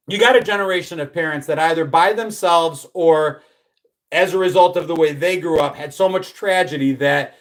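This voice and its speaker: A man in his fifties from the United States hosting a live stream, chatting casually, reading out viewer comments and rambling with many restarts. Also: on the high side. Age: 50s. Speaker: livestream host.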